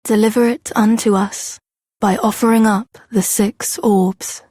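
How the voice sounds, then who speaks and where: A woman's voice: medium pitch, steady tone, a little slow, warm tone, breathy edge, dry recording. A woman playing a solemn, reverent maiden guardian in a fantasy video game, speaking in a formal, ceremonial manner. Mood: solemn, grave.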